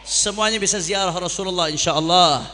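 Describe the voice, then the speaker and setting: A man's voice: high voice, a little fast, bright, forceful tone, long reverb tail, a little hiss or hum. A man preaching a sermon to a congregation as an impassioned orator, using repetition and rhetorical questions. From Jakarta, Indonesia. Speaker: Islamic preacher.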